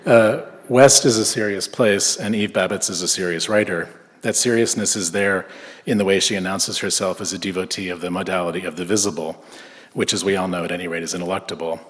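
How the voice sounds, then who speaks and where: A man from Southern California reading an academic conference paper in a measured way, with frequent filler hesitations. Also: low voice, fairly even intonation. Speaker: conference speaker. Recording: echoey lecture hall.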